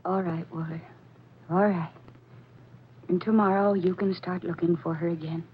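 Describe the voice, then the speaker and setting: An actress playing a grave, patient but firm woman in a 1940s radio thriller, speaking deliberately. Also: lowish voice, fairly even intonation, close to an everyday voice. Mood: soothing, firm. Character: grave, controlled woman handling a troubled man. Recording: noisy, muffled 1940s radio recording.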